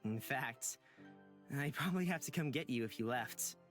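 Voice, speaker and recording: A man voicing a gentle, reassuring young traveler in a visual novel, soft-spoken and earnest. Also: medium pitch, lively intonation, moderate pace, close to an everyday voice. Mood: tender, reflective. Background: background music.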